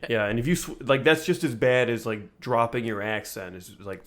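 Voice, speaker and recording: Man co-hosting, casual mid-pitched voice. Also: normal speed, noisy background. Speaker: podcast co-host. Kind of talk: casual chat.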